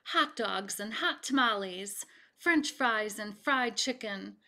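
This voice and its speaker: Unhurried, bright woman reading aloud. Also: a little high, expressive. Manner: deliberate, dramatic. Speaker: picture book reader. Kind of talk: expressive children's read-aloud. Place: home kitchen, indoors.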